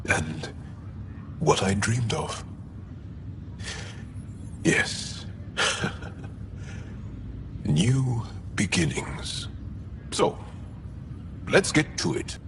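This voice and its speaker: A man playing a grateful, gently wry alien warrior ally in a video game cutscene. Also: lowish voice, sing-song, very slow, creaky, long pauses, heavily acted. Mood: solemn, hopeful. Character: non-human humanoid alien. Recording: noisy ambience behind the voice.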